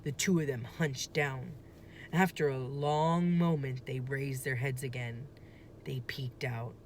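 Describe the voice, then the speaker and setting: A woman reading a children's story aloud like a teacher, with deliberate, dramatic phrasing and emphasis. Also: very deep voice, fairly even intonation, a little hiss or hum.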